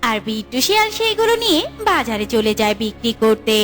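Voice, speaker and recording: A woman's voice: fairly high pitch, very animated, fast talker, a bit bright. Woman, story narrator, scripted children's tale delivery, light music behind.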